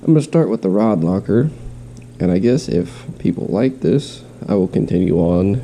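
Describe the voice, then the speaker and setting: A man's voice: low voice, animated, moderate pace, mellow, a little hiss or hum. A man with a cold and a stuffy nose, talking in a nasal voice in a rambling, casual show-and-tell for a fishing vlog, recorded at home. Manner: rambling, with restarts. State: stuffy nose, slight cough. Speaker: fishing vlogger. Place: home, in quarantine.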